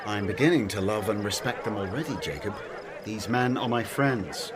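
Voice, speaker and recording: A man playing a sincere, gentle host. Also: fairly low pitch, normal speed, a loud crowd, natural voice. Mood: earnest. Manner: sincere, calm, earnest. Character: earnest, gentle tax collector host.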